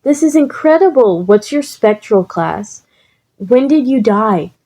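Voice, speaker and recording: Storybook role: nervous, earnest schoolboy ghost nerd played by a woman, lighter and higher, unhurried. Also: animated, warm tone. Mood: excited, urgent. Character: nervous, earnest, studious teenage boy, eager ghost enthusiast.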